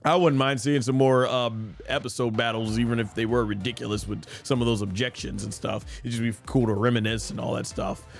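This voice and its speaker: A male game streamer talks in a casual, off-the-cuff way as he plays. His voice sits at an average pitch, with faint game music behind it. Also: fairly even intonation, a little fast, vocal fry, few pauses. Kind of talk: casual live gaming commentary.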